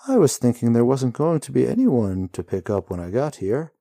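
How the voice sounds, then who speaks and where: A man's voice: mid-range voice, sing-song, normal speed, vocal fry, mellow, no echo, clean studio sound, pitched above the performer's own voice. A man voicing a cheery, folksy woman who rides an emu, chatting casually about grim things. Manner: chatty, folksy, drawling asides. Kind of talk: storybook reading.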